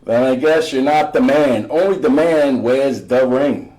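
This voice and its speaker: A man's voice: mid-range voice, a little slow, dark tone, natural voice, rough recording. Male reader as boastful ex-athlete father, affectionate and emphatic, storybook banter. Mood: teasing, challenging. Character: proud, teasing, loving father and former basketball star.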